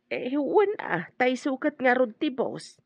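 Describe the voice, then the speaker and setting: An Ilocano-accented woman playing a proud, protective middle-aged mother in a radio drama, with a husky voice. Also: sits high, very animated, measured pace, dry recording, full character voice. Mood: probing. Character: proud, protective mother sizing up her child's in-laws.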